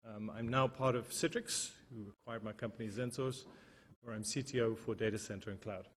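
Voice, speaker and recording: Relaxed man telling his career story. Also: lowish voice, brisk, slight background noise. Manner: relaxed, anecdotal, frequent ums. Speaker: panelist. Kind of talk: panel introduction. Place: auditorium.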